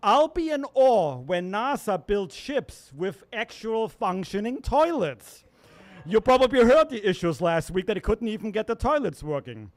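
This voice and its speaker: A man with a German accent, performing a deadpan stand-up comedy set at an open mic. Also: sits high, a little slow. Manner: deadpan wordplay, self-correcting asides.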